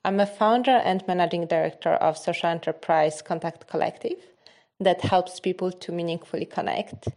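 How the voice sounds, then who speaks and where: A woman from Poland calmly introducing herself as a social enterprise founder. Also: on the low side, normal speed. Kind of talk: self-introduction.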